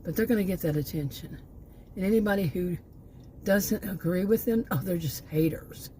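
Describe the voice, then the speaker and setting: A woman of sixty-one speaks in a low, mellow voice gone a little hoarse, since she is losing it. She rambles through her own monologue, breaking off to restart sentences and hunt for her next point. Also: normal speed, a little hiss or hum. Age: 60s. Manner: rambling, often stops to restart and lose her thread. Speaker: channel host.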